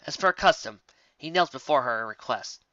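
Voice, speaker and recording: A man's voice: medium pitch, lively intonation, moderate pace, pushed and bright, no echo. A man reading a story aloud as scripted narration. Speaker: story reader. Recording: dull, muffled, very dry.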